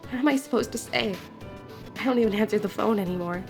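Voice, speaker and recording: A young woman voicing a game playthrough in an expressive way, reacting out loud as she goes. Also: medium pitch, fast talker, creaky. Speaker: fan voice actor. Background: faint game music.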